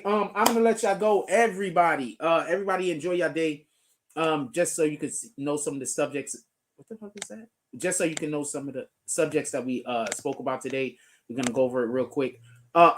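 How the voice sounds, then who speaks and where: A man from the Bronx, hosting a relaxed live stream. He talks loosely and swears freely, thinking out loud as he reacts to news stories and clicks around the screen. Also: high voice, slight background noise. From the Bronx, New York. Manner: loose, profane, talks while clicking through pages. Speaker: streamer host. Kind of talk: casual commentary on news.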